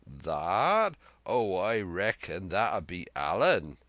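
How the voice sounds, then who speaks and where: A man voicing a sly, smooth-talking old one-legged pirate cook who coaxes in rough sailor talk, for a storybook reading. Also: lowish voice, big pitch swings, takes it slowly, sharp tone, no room sound, heavily acted. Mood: wary.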